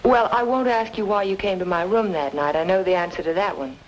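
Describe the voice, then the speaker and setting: An actress playing a determined, sharp-witted woman in a noir radio mystery, with crisp, insistent delivery. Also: mid-range voice, brisk, lo-fi sound, slight background noise, lightly acted. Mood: insistent, knowing. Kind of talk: old-time radio noir acting and narration. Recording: old radio drama with music behind.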